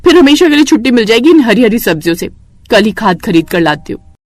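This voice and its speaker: A woman's voice: on the high side, big pitch swings, fast talker, darkish tone, no echo. Young daughter-in-law for a moral tale, woman narrator acting: dramatic, grumbling, scheming, dry studio sound. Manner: dramatic, complaining. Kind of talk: Hindi moral story voice acting.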